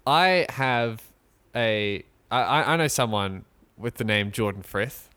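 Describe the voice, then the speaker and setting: A man co-hosting a podcast in casual chat, rambling and joking with halting restarts. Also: lowish voice, expressive, sharp tone, clear, resonant tone. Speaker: podcast co-host. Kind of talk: casual chat, mock sponsor read.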